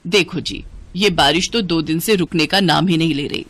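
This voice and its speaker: A woman's voice: low voice, moderate pace, a bit bright, husky, exaggerated voice. A woman voicing a worried, snappish older mother-in-law in a cartoon.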